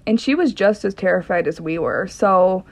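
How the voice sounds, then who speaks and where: A woman's voice: mid-range voice, animated, moderate pace. A young American woman casually recounting a shared experience. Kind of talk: casual recollection.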